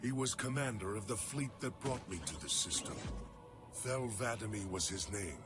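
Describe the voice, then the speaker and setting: A man's voice: fairly low pitch, lively intonation, normal speed, music in the background, natural voice. A man voicing a grave, devout alien warrior commander in a video game cutscene, speaking solemnly and formally. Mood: grave, doubtful.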